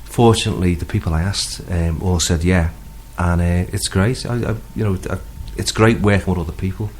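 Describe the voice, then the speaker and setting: A man's voice: deep voice, steady tone, brisk, darkish tone, a little hiss or hum. A man from Liverpool with a Liverpool accent, chatting casually and a bit hesitantly as a singer-songwriter guest in a radio interview. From Liverpool, England.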